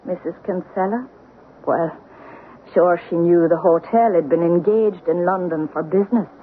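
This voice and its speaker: An actress playing an older Irish woman who gravely tells family secrets in deliberate, lilting radio-drama storytelling. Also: lowish voice, lightly acted. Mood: grave, knowing. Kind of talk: old-time radio drama acting. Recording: tinny, noisy old radio broadcast.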